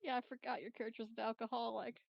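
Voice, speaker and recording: A woman's voice: fairly high pitch, little pitch movement, fast talker, creaky, muffled recording, heavy background noise. A woman casually discussing plans as a tabletop game player, offering tentative, hedged suggestions.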